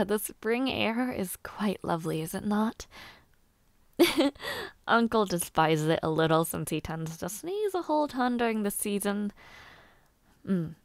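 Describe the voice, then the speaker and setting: An eager young noblewoman with knightly ambitions, acted by a woman for an intimate audio roleplay. Her voice sits at a medium pitch in a very dry recording. She speaks in a formal, old-fashioned way, playfully bossy, with small hesitations and sighs. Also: gravelly, no room sound.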